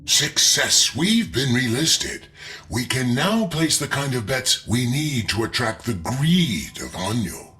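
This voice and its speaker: A man voicing a shrewd merchant strategist in a game, advising in a formal, deliberate and sly manner. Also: mid-range voice, very animated, slight background noise, heavily acted. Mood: scheming. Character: shrewd, measured merchant strategist coaching an ally. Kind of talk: dramatic game mission briefing. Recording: loud music behind the voice.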